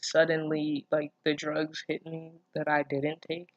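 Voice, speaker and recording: Dry-sounding, expressive reader giving incredulous commentary. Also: medium pitch, measured pace, no echo, dull audio. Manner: expressive, incredulous asides with frequent swearing. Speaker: online reader reacting to fan fiction. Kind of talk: casual read-aloud with running commentary.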